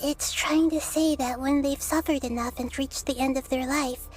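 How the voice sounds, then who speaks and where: A woman's voice: high-pitched, fairly even intonation, moderate pace, slight background noise, close to an everyday voice. A woman voicing a small, kindly, wise girl goddess in a video game, talking in a thoughtful, explanatory manner. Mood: compassionate.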